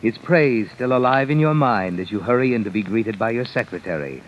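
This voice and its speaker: An actor playing a sinister, knowing narrator in an old radio mystery, taunting and insinuating in the second person. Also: male voice, mid-range voice, lively intonation, a little fast, a little hiss or hum, close to an everyday voice. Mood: sly, knowing. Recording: muffled vintage radio broadcast over music.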